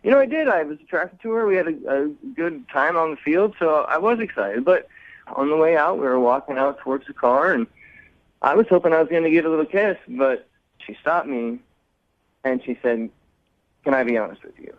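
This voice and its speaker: Higher-voiced man on a dull phone line. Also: lively intonation, brisk. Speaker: radio show caller. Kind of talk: casual chat.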